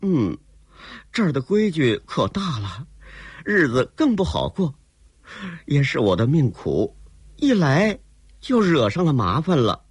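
A male storyteller voicing a soft, pleading young courtesan. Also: fairly high pitch, sing-song, measured pace, pauses often, breathy edge, dry recording, voice pushed up, heavily acted. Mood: worried. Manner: coaxing, tearful pleading.

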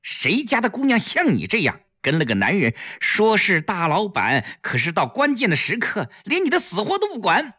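Man voicing a high-pitched, theatrical, spirited young wife. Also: huge pitch swings, measured pace, bright, forceful tone, slightly rough, no room sound, clean studio sound, full character voice. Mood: loud mock scolding. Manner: theatrical, exclamatory, coaxing. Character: spirited, crafty young wife, scolding and secretly caring. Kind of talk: dramatic audiobook storytelling.

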